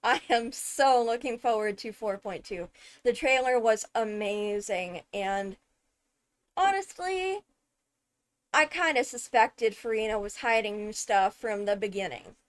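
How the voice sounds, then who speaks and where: A woman giving enthusiastic fan commentary, thinking aloud with dramatic, deliberate phrasing and emphatic pauses. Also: a little high. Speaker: video creator analysing a game character.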